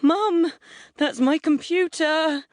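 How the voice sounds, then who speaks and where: A woman's voice: takes it slowly, dry recording. A woman voicing a panicky, sulky teenage boy in a story, pleading in terror. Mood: terrified. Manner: high-pitched terrified pleading.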